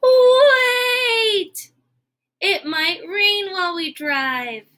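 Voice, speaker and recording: A woman voicing an anxious, excitable elephant who loves plans, with emphatic, drawn-out exclamations in a children's storybook. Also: very high voice, voice pushed up, exaggerated voice. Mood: urgent, excited. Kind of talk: children's storybook read-aloud.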